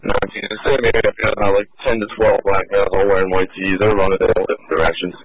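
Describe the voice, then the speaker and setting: A man's voice: low voice, flat delivery, quick pace, no echo, muffled recording. A man, a police officer, giving urgent clipped reports over the radio.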